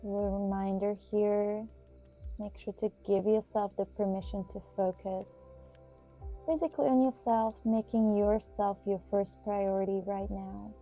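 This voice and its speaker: Very mellow, soft-toned woman guiding yoga. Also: medium pitch, steady tone. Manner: soothing, measured instruction with pauses. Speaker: yoga instructor and host. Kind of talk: guided yoga and meditation instruction. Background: music.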